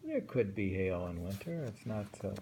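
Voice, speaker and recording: Man, poetry reader, unhurried and reflective, husky fry in the voice, lowish pitch. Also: big pitch swings, mellow, a little hiss or hum. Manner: slow, musing, repeats each poem twice. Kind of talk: reading poems aloud and discussing them.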